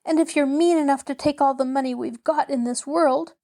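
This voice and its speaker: An indignant young girl from a storybook, played by a woman narrator. Her voice is pitched high and lands hard on its words. She is dramatic, fiery and full of wounded, haughty dignity. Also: steady tone, brisk, warm tone. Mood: angry, pleading. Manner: emphatic, dramatic, wounded pride.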